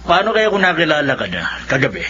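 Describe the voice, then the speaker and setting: A man's voice: on the high side, huge pitch swings, quick pace, sharp tone, full character voice. A man with a Filipino accent acting a villain's curious drinking buddy in a radio horror drama, chummy and questioning. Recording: dull radio recording, faint noise.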